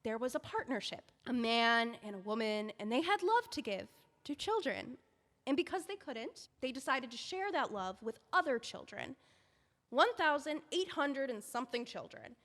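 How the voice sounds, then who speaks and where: A woman's voice: high voice, animated, a bit bright, long reverb tail. A woman playing an earnest young editor. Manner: earnest, rushing, emotional pleading. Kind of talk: audio drama acting.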